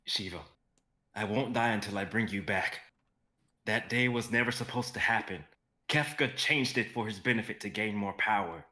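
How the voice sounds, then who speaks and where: A man plays an earnest male fantasy hero, determined and impassioned. The voice is bright and forceful, with halting, pleading delivery in a stage-reading style. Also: fairly low pitch.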